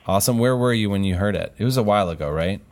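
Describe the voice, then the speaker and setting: A man from Houston, Texas, interviewing a child in a friendly, casual way with chatty, animated interest. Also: lowish voice, racing. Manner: chatty, slangy, reacts with exaggerated interest. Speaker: interviewer, show host.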